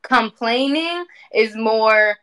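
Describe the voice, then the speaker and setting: A woman in her twenties talking casually on a livestream, animated and emphatic, often repeating phrases for emphasis. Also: a little high, very slow, clean tone. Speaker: spiritual community speaker. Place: indoor room at home.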